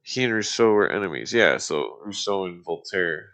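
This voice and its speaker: A man reading aloud casually on a live stream, halting and stumbling over formal text, with muttered asides. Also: sits low, lively intonation, a bit bright.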